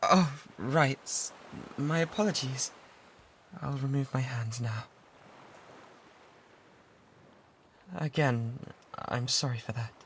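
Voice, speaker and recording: A man's voice: medium pitch, takes it slowly, a bit bright. A British man playing an obsessive, lovesick pirate in a romantic ASMR roleplay, pleading fervently and breathily, close to a binaural microphone. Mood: flustered, surprised, then shy, devoted. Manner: fervent, breathy pleading that often drops toward whispers. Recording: noticeable background noise and ambience.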